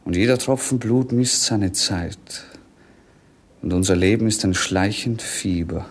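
Husky, melancholy young prince. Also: male voice, on the low side, unhurried. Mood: weary. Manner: poetic, languid declamation. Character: melancholy dreamy young prince, world-weary romantic. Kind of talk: 1950s German radio drama acting.

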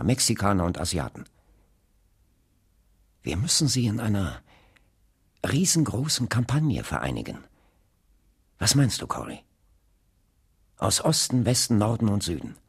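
A man's voice: lowish voice, gravelly, breathy edge, exaggerated voice. An actor playing an earnest, resolute male civil rights leader and preacher in a German radio drama, with weighty, emphatic oratory. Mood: earnest, visionary. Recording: reverberant hall sound.

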